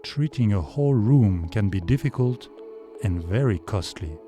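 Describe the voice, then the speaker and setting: Deep-voiced man, low and mellow, measured tutorial narration. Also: faint music behind. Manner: calm, measured explanation. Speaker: video creator. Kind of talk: scripted voice-over tutorial. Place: foam vocal booth.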